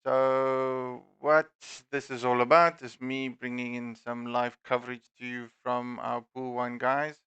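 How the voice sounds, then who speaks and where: A man's voice: medium pitch, level tone, unhurried, hardly any pauses, a bit bright, clear, resonant tone, no echo, highs cut off. A middle-aged man with a Dutch accent hosting a live sim racing stream, talking in a loose, self-deprecating way with plenty of fillers through a headset. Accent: Dutch-accented English. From South Africa. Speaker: stream host and league admin. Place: home room at a desk. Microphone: gaming headset.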